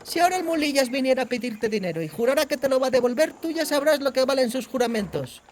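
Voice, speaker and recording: A cheeky young bandit woman, played by a male audiobook narrator, with a very high voice. She is bossy and sardonic, explaining things briskly in colloquial speech. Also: animated, a little hiss or hum. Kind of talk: audiobook character dialogue.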